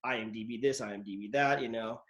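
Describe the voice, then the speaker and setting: A man's voice: medium pitch, fast, a bit bright. A man hosting a casual interview show, telling stories from his acting work in a loose, rambling way full of "like" and restarts. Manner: rambling, heavy use of "like" and restarts. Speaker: podcast host and actor.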